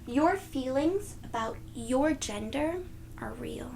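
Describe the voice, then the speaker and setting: A woman reading a storybook aloud to young children, expressive and gentle, speaking directly to them. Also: sits high, takes it slowly, gravelly, a little hiss or hum. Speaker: children's read-aloud host.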